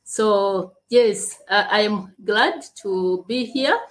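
A woman from Uganda, answering interview questions in slow, halting speech with long pauses. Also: mid-range voice. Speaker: guest, leadership coach and speaker.

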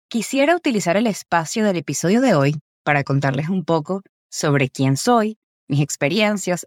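A woman in her twenties with a Venezuelan accent, hosting a podcast in a scripted, personal narration with articulate, deliberate phrasing. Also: low-pitched, dry recording, studio quality. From Caracas, Venezuela. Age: 20s. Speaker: podcast host.

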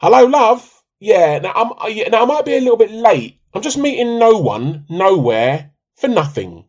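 Man acting middle-aged husband, radio play, henpecked, dry sarcasm, rough-edged voice, wildly expressive pitch. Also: normal speed, exaggerated voice. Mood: mocking. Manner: protesting, dryly sarcastic. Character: put-upon, placating, dryly sarcastic middle-aged husband.

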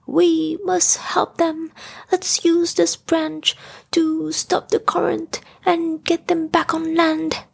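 Lady doing a kind storybook bear who loves honey, high voice, super earnest. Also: flat delivery, takes it slowly, vocal fry, exaggerated voice. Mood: determined. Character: kindly, honey-loving male bear, helpful storybook friend.

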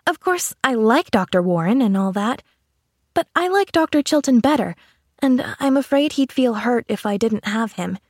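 An eager, affectionate little girl from a storybook, acted out by a woman reader. Her voice sits high and gushes with exclamations and wonder. She is cheerful and openhearted, recorded close and dry. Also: a little slow, dry recording. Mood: earnest, wistful. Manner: gushing, exclamatory, breathless wonder. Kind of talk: storybook character acting.

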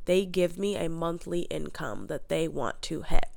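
A young woman with a head cold talks through a solo podcast episode, her nose audibly stuffed up. Her voice sits low for a woman, and the recording is close and dry with no room sound. She keeps an upbeat, motivational coaching tone throughout. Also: lively intonation, measured pace, gravelly, darkish tone, no echo. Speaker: podcast host and mindset coach.

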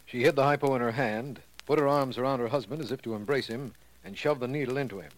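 An actor playing an earnest, impressed district attorney, asking questions in 1940s radio drama style. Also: male voice, mid-range voice, quick pace, close to an everyday voice, poor audio. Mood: earnest, inquiring. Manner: earnest, inquiring.

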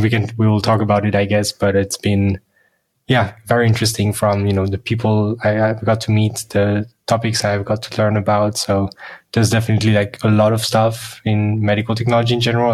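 A man's voice: on the low side, darkish tone. A man with a French accent chatting casually as a podcast co-host, in a loose, conversational way. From France.

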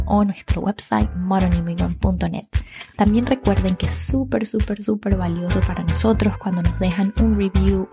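A woman's voice: medium pitch, gravelly, darkish tone, husky. A woman hosting a podcast. She talks in a chatty, upbeat way and repeats words for emphasis, both in her intro and while interviewing. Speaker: podcast host.

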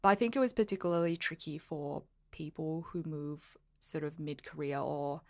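A woman's voice: low-pitched, moderate pace, a little mellow. A woman who grew up in Singapore and Melbourne, talking casually and reflectively as an interview guest, explaining with small asides. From Singapore, moved to Melbourne at thirteen. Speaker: podcast guest, lawyer and blogger.

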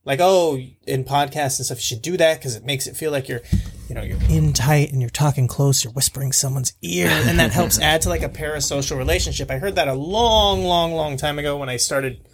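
A man co-hosts a podcast with a somewhat high, squeaky voice that has an abrasive edge. He talks bluntly and casually, keeping the microphone away from his mouth. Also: brisk, slight background noise. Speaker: podcast co-host. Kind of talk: casual chat. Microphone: microphone placed well away from him.

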